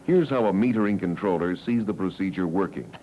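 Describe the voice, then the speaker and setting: Low american guy narrating an old 70s training film, measured and instructional, bit of noise. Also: expressive, muffled recording. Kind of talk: scripted narration. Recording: noisy 1970s training film soundtrack.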